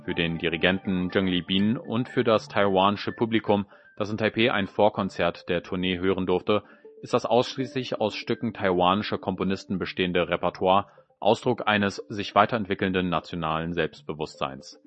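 A male radio presenter reads a scripted cultural report in a very low voice. The sound is close and dry, slightly muffled, with music underneath. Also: moderate pace.

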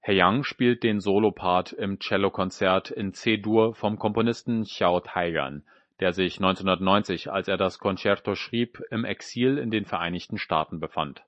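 A man's voice: low-pitched, moderate pace, few pauses, fairly bright tone, no room sound, highs cut off. A man presenting a culture programme, reading a scripted radio report.

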